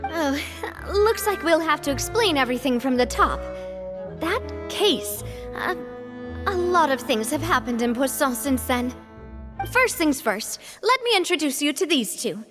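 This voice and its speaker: An earnest young leader with a high voice, gentle and a little hesitant. Her lines carry a long reverb tail. Soft game music runs underneath. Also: big pitch swings, unhurried. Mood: explaining. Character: young woman leading a close-knit band, earnest. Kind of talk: video game voice acting.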